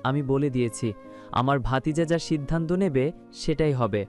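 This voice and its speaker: A man's voice: medium pitch, normal speed, darkish tone, close to an everyday voice. A male actor playing a composed uncle of the family in an audio drama, with music underneath. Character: composed family elder, an uncle backing his nephew.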